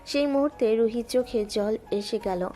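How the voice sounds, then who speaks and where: Female narrator, slightly high, calm even read, soft music under. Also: moderate pace. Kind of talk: scripted story narration.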